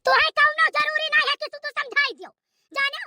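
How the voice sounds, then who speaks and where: Woman doing shrill scolding old mother-in-law. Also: steady tone, fairly quick, bright, forceful tone, no echo, studio quality, full character voice. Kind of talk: cartoon voice acting.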